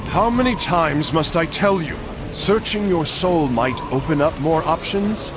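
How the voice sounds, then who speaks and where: An actor playing an earnest young crusader knight in a video game, dry and teasing with a moralizing streak. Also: male voice, on the high side, animated, measured pace, over music. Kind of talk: video game dialogue acting.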